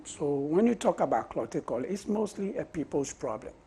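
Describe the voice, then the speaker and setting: A Ghanaian man with a husky voice and Ga as his mother tongue, answering interview questions in deliberate short phrases. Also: on the high side, big pitch swings, warm tone. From Osu, Accra, Ghana. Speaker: parliamentary candidate being interviewed. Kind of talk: conversational political interview.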